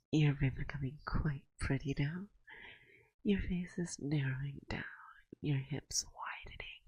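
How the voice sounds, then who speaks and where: Breathy, slow-talking forest witch played by a woman. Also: deep voice, no echo, full character voice, low-quality recording. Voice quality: breathy, hushed, often whispering. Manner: slow, soothing, teasing, gently dominant. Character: dominant, teasing, affectionate female forest witch. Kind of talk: ASMR roleplay, soft speech and whispering. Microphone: close binaural microphone.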